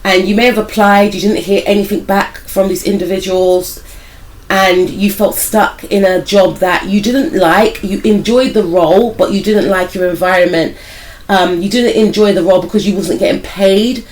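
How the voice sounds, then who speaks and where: A woman reads tarot for her viewers in a loose, conversational way, her voice a little croaky from a cold. She wanders from point to point and keeps softening each claim with what she feels. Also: mid-range voice, steady tone, normal speed, rough recording. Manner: rambling, hedged with "I feel like"; speaks directly to viewers as "some of you". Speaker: tarot reader and spiritual life coach. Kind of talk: casual intuitive tarot reading to viewers.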